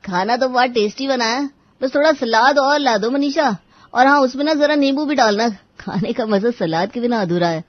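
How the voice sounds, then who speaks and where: A woman voicing a lazy, entitled grown son who whines and demands, in an animated story. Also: fairly high pitch, moderate pace, no echo, lo-fi sound, close to an everyday voice. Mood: demanding. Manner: whiny, demanding.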